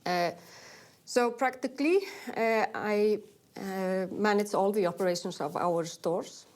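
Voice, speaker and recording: A woman's voice: mid-range voice, unhurried, darkish tone. A middle-aged woman from Iceland with an Icelandic accent, speaking English as an interview guest, friendly and hesitant with many fillers. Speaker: retail executive guest. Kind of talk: in-person interview.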